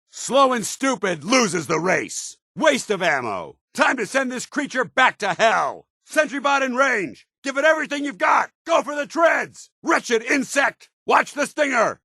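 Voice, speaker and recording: An actor playing a stern, loyal, idealistic soldier companion in a video game, with a clipped, earnest, authoritative military delivery. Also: male voice, extremely high pitch, creaky, pushed and bright, slightly rough, dry recording, studio quality, exaggerated voice. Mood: fierce battle shouting. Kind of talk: video game character dialogue.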